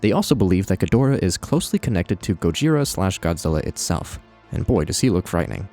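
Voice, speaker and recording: A man's voice: sits low, sing-song, fast talker, mellow, faint music behind. A man hosting a video essay, narrating in a conversational commentary style with wry asides.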